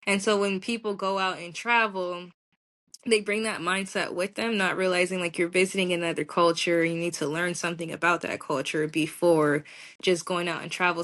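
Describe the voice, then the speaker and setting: Woman, American accent, lowish pitch, colloquial, candid, casual interview guest. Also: fairly bright tone. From United States. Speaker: podcast guest. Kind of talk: casual conversational interview answers.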